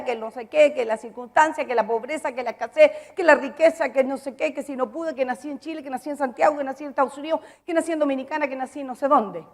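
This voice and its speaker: A woman preaches in a high voice and builds emphasis by repeating her lines. She stops to ask her listeners whether they follow her. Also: vocal fry, a little mellow. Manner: emphatic, repeats phrases, asks the congregation questions. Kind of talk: sermon.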